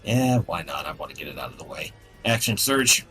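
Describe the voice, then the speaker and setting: A man's voice: sits low, sing-song, brisk, bright, forceful tone, faint music behind. A man chatting casually and bluntly as a player in a tabletop role-playing game.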